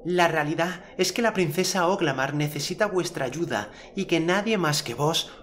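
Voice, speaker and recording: A man's voice: a little high, normal speed, fairly bright tone, a little hiss or hum, pitched above the performer's own voice, close to an everyday voice. An adult man voicing a young boy hero in an audiobook, speaking in a solemn, formal old-fashioned way. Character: earnest boy hero, commanding storyteller.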